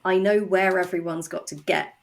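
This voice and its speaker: A woman's voice: on the low side, fairly even intonation, moderate pace, creaky. A woman talking casually as a writer being interviewed on a podcast. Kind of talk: conversational interview.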